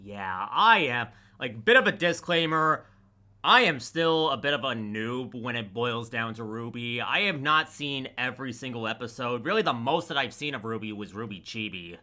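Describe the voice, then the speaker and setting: A man's voice: medium pitch, lively intonation, normal speed, pushed and bright. A man chatting casually as a guest, hesitant and a little apologetic. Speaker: guest on a fan discussion. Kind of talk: casual chat.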